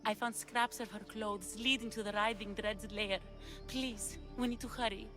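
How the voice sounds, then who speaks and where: A woman's voice: mid-range voice, fairly even intonation, moderate pace, close to an everyday voice. An actress playing a lovesick, desperate woman of ancient Greece in a video game, with an earnest, pleading delivery. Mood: yearning, urgent. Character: lovesick, desperate ancient Greek woman seeking her lover. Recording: music behind the dialogue.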